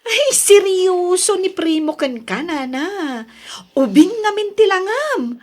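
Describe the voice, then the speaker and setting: A woman's voice: very high voice, lively intonation, darkish tone, exaggerated voice. A Filipino-accented actress playing a flustered, coquettish older village mother in a radio drama, exclaiming and stammering as she talks. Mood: teasing, curious. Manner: exclamatory, stammering, drawn-out delivery. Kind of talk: Ilocano radio drama acting.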